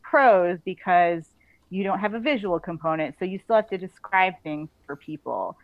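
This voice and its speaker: A woman's voice: lowish voice, animated, moderate pace, dry recording. Female author interviewee, casual and effusive, conversational delivery, dry close sound with limited bandwidth. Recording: band-limited, slightly dull audio.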